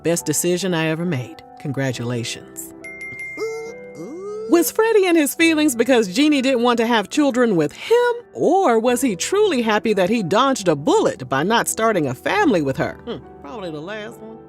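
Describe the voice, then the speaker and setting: A woman's voice: on the high side, sing-song, normal speed, soft background music. A woman narrating a celebrity gossip video from a script and breaking in with sassy, snarky ad-libbed asides.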